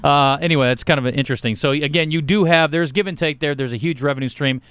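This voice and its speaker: A middle-aged male sports radio host with a loud voice and a joking, opinionated way of talking. He talks fast, and his voice sits close and dry on the studio mic. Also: fairly high pitch, smooth, no room sound. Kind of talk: casual sports radio banter.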